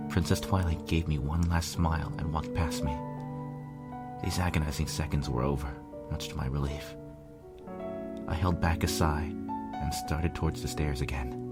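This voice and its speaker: A man reading a first-person story aloud as narrator, with an earnest, measured, brooding delivery. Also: deep voice. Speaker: fan fiction reader, narrator. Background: instrumental music.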